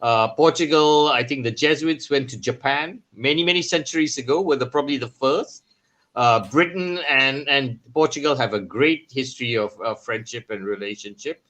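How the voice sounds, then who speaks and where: Man with a mid-range voice, measured. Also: medium pitch, fairly bright tone. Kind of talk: online panel discussion.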